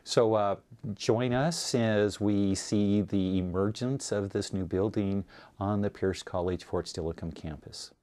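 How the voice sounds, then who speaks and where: A man's voice: lowish voice, fairly even intonation, measured pace, a little mellow. A man presenting in a narrated, informational style with occasional hesitations. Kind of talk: scripted narration with some hesitations.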